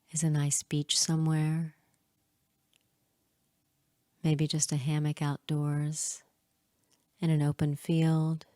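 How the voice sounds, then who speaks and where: Very slow, low, mellow woman guiding meditation. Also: no room sound, studio quality. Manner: soothing, hushed, with long pauses between short phrases. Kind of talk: guided hypnosis meditation.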